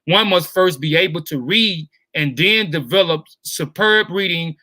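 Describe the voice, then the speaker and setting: A man hosting a livestream interview, reading measured prepared text and then talking casually to his audience. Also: a little high, bright, forceful tone, no room sound. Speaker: host.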